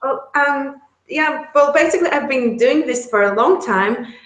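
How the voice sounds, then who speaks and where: A woman's voice: high-pitched, measured pace, a bit bright. Chatty Latvian woman, echoey room. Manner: chatty, laughs often, many restarts. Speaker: guest, relocation service founder. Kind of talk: casual interview chat.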